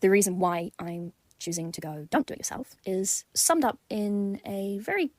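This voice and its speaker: A woman talking casually in a voice message, informal and expressive, with a self-deprecating way of telling things. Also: medium pitch, normal speed, no room sound. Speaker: listener sending in a voice message.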